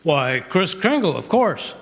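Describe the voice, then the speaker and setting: Guy doing slow deliberate store boss witness, old radio drama, genial, high voice, hall echo. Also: big pitch swings, vocal fry, full character voice. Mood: hearty. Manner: deliberate, halting. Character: genial, hesitant department store owner on the witness stand. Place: live performance on a college campus. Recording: reverberant hall stage recording.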